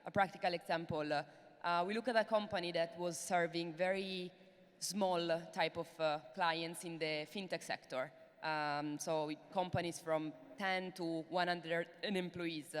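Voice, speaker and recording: A woman with an Italian accent talks on a panel into a handheld mic, her voice smooth and resonant. She pauses often with fillers. The big conference hall adds a long reverb. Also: fairly low pitch, fairly even intonation. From Italy. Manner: hesitant, many fillers. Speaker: panelist investor. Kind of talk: panel discussion. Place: large conference hall stage.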